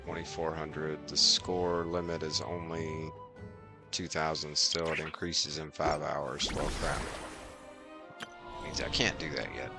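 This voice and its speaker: A man with a very low voice talks casually on a gaming livestream. He takes his time, muttering in short fragments as he plays. Video game music runs clearly behind him. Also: flat delivery, measured pace. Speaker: variety game streamer.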